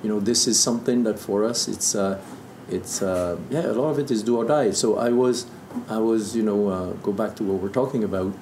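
Deep-voiced Frenchman telling his story. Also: brisk, hardly any pauses, darkish tone. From southern Burgundy, France. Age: 50s. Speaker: interview guest, distiller. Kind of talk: casual storytelling interview. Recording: echoey room with background noise.

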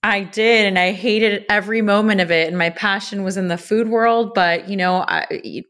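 A woman's voice: mid-range voice, steady tone, moderate pace, a bit bright. A woman in her thirties from Los Angeles, a cookbook author and food blogger talking candidly as a guest in a podcast interview. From Los Angeles, USA. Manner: candid, open, chatty with small restarts.

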